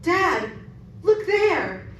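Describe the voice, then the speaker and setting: Horror radio ingenue, played by a woman: high, swooping, slow, fearful, in a very echoey room. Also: big pitch swings, a bit bright, full character voice. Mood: dread. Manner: theatrical, dread-filled. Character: frightened, pleading daughter in a haunted house. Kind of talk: old-time radio drama acting. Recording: very echoey room, distant, background noise.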